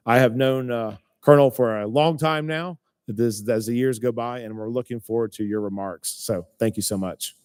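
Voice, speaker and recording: A man's voice: medium pitch, moderate pace, few pauses, darkish tone, clean tone. A man in the role of mayor, giving a friendly, informal introduction of a guest speaker from some distance away from the microphone.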